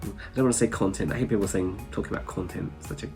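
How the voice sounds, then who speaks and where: Man, stuffy nose, lowish voice, casual chatty vlog talk, faint music underneath. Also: rapid-fire, darkish tone. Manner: chatty, rambling, with chuckles. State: congested from hay fever. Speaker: travel vlogger. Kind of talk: casual chat to camera.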